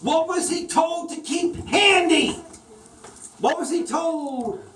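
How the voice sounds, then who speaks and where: A woman's voice: extremely high pitch, slow pace. A woman teacher quizzing children, asking questions, repeating them and echoing back the answers in a prompting way. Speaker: children's Sunday school teacher quizzing a class. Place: large echoey indoor room with children. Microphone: distant camera or room mic. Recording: echoey room, distant mic, noisy.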